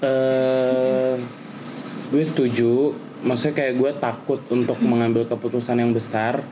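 A man's voice: mid-range voice, little pitch movement, a little slow, noisy background. A man, talking casually and slipping in English phrases. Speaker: panel participant, event MC. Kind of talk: casual personal talk.

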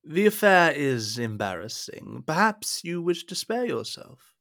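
A man's voice: on the high side, sing-song, a little slow, vocal fry, fairly bright tone, exaggerated voice. An actor playing a scheming cardinal in an audio drama, formal and insinuating in his speech. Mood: grave, insinuating. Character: smooth, scheming cardinal and royal adviser.

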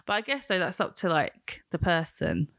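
A woman hosting a casual pop culture podcast, chatty and quick to laugh. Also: fairly low pitch, very animated, fairly bright tone, dry recording, clean studio sound. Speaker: podcast host.

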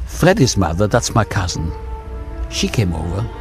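A man in his seventies giving a personal testimony in a radio interview, speaking in a reflective, measured way with frequent pauses. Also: low-pitched, big pitch swings, gravelly, over music. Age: 70s.

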